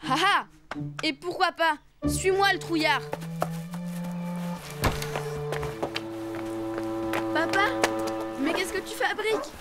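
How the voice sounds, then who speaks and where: A woman's voice: very high-pitched, measured pace. A woman voicing a stubborn, scheming young boy elephant in a French children's cartoon. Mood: bossy, annoyed. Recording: music behind the voice.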